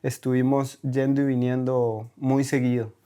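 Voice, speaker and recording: A man's voice: medium pitch, level tone, a little slow, dark tone, clean tone. A man from Costa Rica, a German teacher, telling his story as a podcast guest, with many hesitations and tag questions. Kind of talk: casual conversational interview. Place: recording in Berlin.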